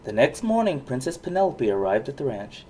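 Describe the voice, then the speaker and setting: A parent reading a children's storybook aloud with expressive narration. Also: medium pitch, fairly quick, slight background noise.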